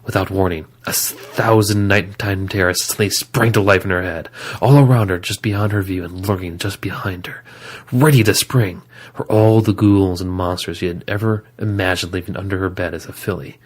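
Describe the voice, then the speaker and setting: A man's voice: deep voice, steady tone, moderate pace. A man reading scripted story narration as the narrator of an audio drama.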